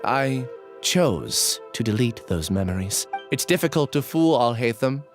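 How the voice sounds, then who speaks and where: A woman playing a troubled, guarded young researcher in a video game, measured and solemn. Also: a bass voice, animated, soft background music. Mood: solemn confession.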